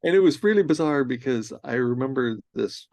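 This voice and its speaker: Older guy in his 60s, host, chatty animated storyteller, kinda gravelly. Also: medium pitch, fairly quick, no room sound, studio quality. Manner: animated storyteller, laughs often. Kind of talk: casual conversation.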